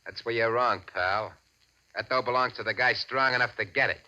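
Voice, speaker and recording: An actor playing a ruthless, impatient gang boss in 1940s radio crime drama style, commanding and sarcastic with a mock-friendly menace. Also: male voice, medium pitch, steady tone, fairly quick, sharp tone. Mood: mockingly menacing. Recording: dull old radio broadcast recording.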